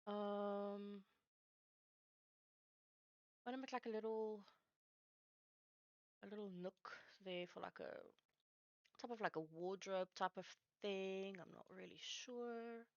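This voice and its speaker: A woman whose first language is Afrikaans chats casually as she works on a livestream, haltingly searching for words. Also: mid-range voice, darkish tone, clean tone, no room sound, muffled recording. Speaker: streamer building game maps live. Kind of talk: casual chat while working.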